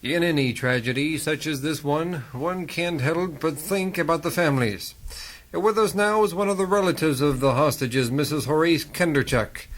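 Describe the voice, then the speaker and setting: Casting: actor as earnest male news anchor for radio satire, highish voice, formal and measured, exasperated underneath. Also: lightly acted, rough recording. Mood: formal, composed. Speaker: host of a parody news broadcast. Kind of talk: satirical radio theater, broadcast interviewing.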